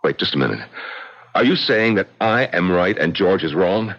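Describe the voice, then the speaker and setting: An actor playing an angry, wronged man in an old-time radio mystery, with a blustery, halting and emphatic delivery. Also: sits low, lively intonation. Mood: indignant, sarcastic. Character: angry, wronged man, softening into bewildered sincerity. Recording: dull, band-limited old radio recording.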